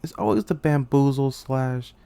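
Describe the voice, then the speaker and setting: A man playing a betrayed, despairing young military commander in a theatrical, halting anime-game monologue. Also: mid-range voice, lively intonation, gravelly, warm tone, clean tone, no echo. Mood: bitter resignation. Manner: theatrical, halting, anguished delivery. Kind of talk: dramatic monologue, anime-game fan voice reel.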